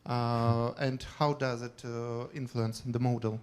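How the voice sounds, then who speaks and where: A man from the audience asking a question at a conference, pausing often between words. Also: medium pitch, level tone, darkish tone, clear, resonant tone. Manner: hesitant, many pauses between words.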